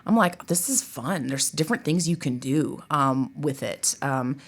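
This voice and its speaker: A woman's voice: low-pitched, animated, a little slow. A woman talking casually as an interview guest, chatty and emphatic, with plenty of conversational asides. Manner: chatty, emphatic, peppers talk with "you know" and "like". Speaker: theater professor and director, interview guest.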